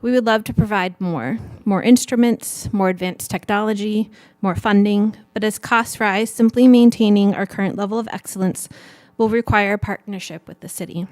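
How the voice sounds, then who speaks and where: A woman from California gives an earnest, emphatic prepared speech asking a city council for funding. Her voice is mid-pitched and the sound is dry and close. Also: fairly even intonation, normal speed, darkish tone, dry recording. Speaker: education foundation director. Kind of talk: prepared public statement.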